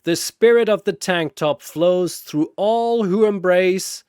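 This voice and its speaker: A man's voice: high-pitched, slow, a little mellow, smooth, no room sound, studio quality, voice pushed up. A man voicing a boastful, honorable muscle-bound superhero in an action story, proclaiming pompously. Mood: noble, rousing.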